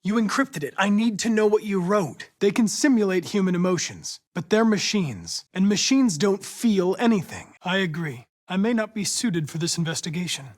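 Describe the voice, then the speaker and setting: A man with an American accent playing a polite, determined young android police investigator in a video game, speaking in a precise, formal, measured way. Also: high voice. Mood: earnest, probing, then firm, cold. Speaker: actor voicing a video game character.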